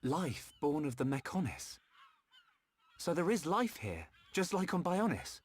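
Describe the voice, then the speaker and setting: A young man voicing an earnest, anxious swordsman hero in a game, speaking softly. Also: fairly high pitch, wildly swooping pitch, unhurried, husky, exaggerated voice. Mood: curious. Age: young adult. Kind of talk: scripted game cutscene acting.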